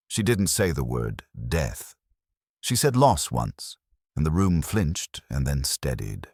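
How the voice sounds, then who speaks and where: A man narrating a scripted first-person detective story in a dry, wry, measured way. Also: extremely low pitch, no room sound. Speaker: audiobook narrator.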